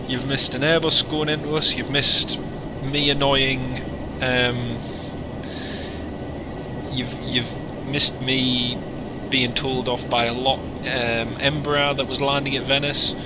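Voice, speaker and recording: A man's voice: medium pitch, little pitch movement, slow. A man chatting casually on a flight sim livestream, joking and rambling between asides. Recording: noisy, with engine hum.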